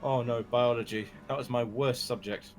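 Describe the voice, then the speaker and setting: A man's voice: mid-range voice, steady tone, moderate pace. A man streaming a visual novel, reading character dialogue casually with laid-back joking asides. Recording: clear game music behind.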